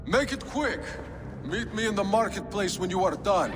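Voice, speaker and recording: A theatrical male crime boss from a video game, grand and poetic in delivery. His pitch swings widely as he menaces, and music plays behind him. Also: lively intonation, normal speed. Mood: mocking. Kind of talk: dramatic video game acting.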